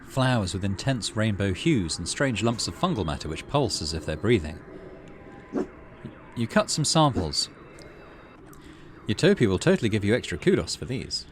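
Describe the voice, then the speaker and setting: A man with a fairly low voice plays through a game and reads its text aloud. His intonation swings widely as he moves between measured narration and muttered asides. There is clear noise behind him. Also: sing-song. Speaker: gaming channel host. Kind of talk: casual game playthrough, reading on-screen text aloud. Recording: noticeable background noise.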